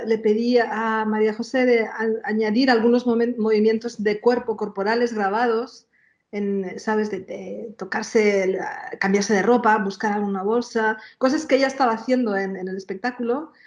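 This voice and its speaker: A woman interviewed as a sound artist, explaining casually and haltingly, often repeating words as she finds her phrasing. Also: a little high, fairly even intonation. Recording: roomy echoing sound.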